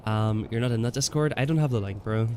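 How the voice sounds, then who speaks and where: A man's voice: mid-range voice, fast, darkish tone, a little hiss or hum. A young man livestreaming a game, chatting in a laid-back way with viewers, stuffy from a cold. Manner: chatty, laid-back, answers chat, says "man" and "bro" often. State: congested from a cold. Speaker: gaming livestreamer. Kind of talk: casual live stream chat while playing.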